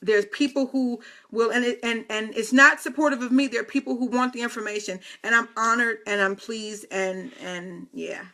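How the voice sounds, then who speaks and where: A woman's voice: fairly high pitch, steady tone, moderate pace, few pauses, sharp tone. A woman in her fifties hosting a casual live video talk, speaking straight to viewers with playful, teasing asides. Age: 50s. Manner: playful, teasing asides and chatty direct address.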